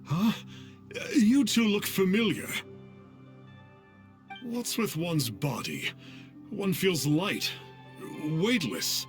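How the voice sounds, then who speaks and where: A man's voice: sits high, very animated, drawn-out words, long pauses, exaggerated voice. A man playing a pompous, easily cowed fake immortal exorcist in a video game, with theatrical, stilted, archaic speech. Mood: pompous, haughty. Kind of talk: video game character acting. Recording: background music.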